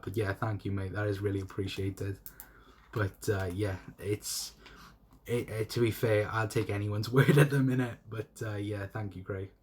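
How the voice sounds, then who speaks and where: A young man from South Wales with a South Welsh accent, hosting a solo fan livestream and reading chat comments in a rambling, repetitive, friendly way. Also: lowish voice. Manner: rambling, repeats himself, friendly to chatters. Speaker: fan channel host.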